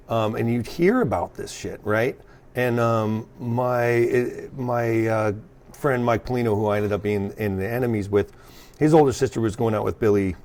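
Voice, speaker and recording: A man with an American accent chatting casually as a guest, sweary and halting as he corrects himself. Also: medium pitch, darkish tone. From California, US. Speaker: guest, punk drummer. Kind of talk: casual chat, telling own story.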